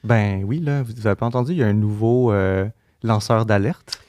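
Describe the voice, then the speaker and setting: A man with a Quebec French accent co-hosts a casual, humorous podcast. His voice is a little low and recorded very dry. He comes across as wry and understated. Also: brisk, darkish tone, dry recording. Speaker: podcast co-host.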